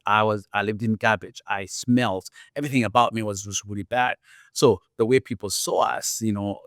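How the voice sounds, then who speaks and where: A man's voice: lowish voice, dry recording, studio quality. A middle-aged man with a Ugandan accent, a podcast guest earnestly telling his life story and leaning on "you know" as he talks. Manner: earnest, reflective, repeats "you know" often.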